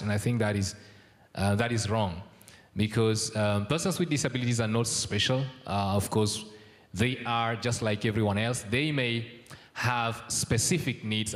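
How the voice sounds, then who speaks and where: Man, from South Sudan, formal conference speech, measured, hesitant fillers, low voice. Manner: measured, with frequent ums and uhs. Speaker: conference speaker. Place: large conference hall. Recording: long hall reverb.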